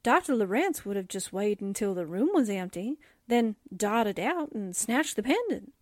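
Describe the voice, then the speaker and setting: Lady doing an eager young newspaper sidekick for a vintage radio whodunit, mid voice, bouncy pitch. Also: very animated, moderate pace, dry recording, clean studio sound. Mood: excited. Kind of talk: 1940s radio mystery acting.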